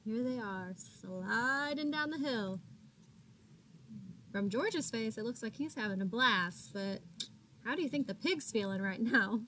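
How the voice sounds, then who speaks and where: A woman with a fairly high voice reads a picture book to young children at a slow, measured pace. She is expressive in a teacherly way, speaking straight to her little listeners. A faint noise sits behind her. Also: rough recording. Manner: expressive, addresses children as friends, gasps for suspense. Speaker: teacher reading aloud to children. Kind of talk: animated picture-book read-aloud with questions to young listeners.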